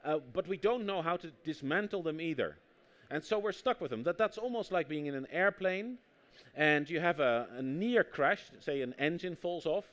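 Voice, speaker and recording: Dutch-accented male guest author, somewhat high pitch, animated storyteller who acts out quotes, public talk setting. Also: moderate pace, few pauses. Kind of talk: conversational interview on stage.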